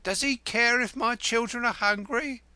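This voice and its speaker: Man doing a shrill scolding landlady. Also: moderate pace, smooth, dry recording, exaggerated voice. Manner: sharp-tongued, shrill, scolding.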